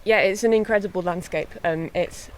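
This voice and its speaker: A young woman, a harpist, chatting in a relaxed way with light laughs while out walking on a hillside. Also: lowish voice, moderate pace. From born in England, raised in mid Wales. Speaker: harpist and guest. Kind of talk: casual walking interview. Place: outdoors on a hillside walk. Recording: faint outdoor noise.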